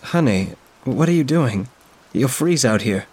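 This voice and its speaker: A man voicing a caring middle-aged woman, a friend of the family, speaking in a gentle, soothing, concerned way. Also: mid-range voice, animated, measured pace, breathy edge, voice pushed up.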